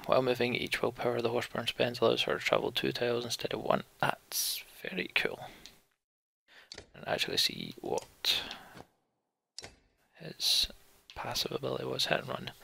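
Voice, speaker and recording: A man narrates his way through a video game as a let's play host. His voice is very creaky and gravelly, with a husky grain and a bright edge. He moves between reading text aloud and muttering his own thoughts. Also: medium pitch.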